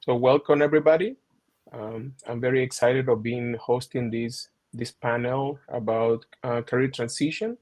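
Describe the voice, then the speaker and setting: A man hosting a video call panel, speaking as a with many pauses and fillers. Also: medium pitch, steady tone, darkish tone. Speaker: panel host, physician.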